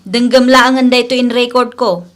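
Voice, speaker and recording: A woman's voice: fairly high pitch, fairly even intonation, normal speed, close to an everyday voice. An actress playing a frank, chiding female friend who gives advice in a radio drama, matter-of-fact in manner. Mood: chiding, knowing.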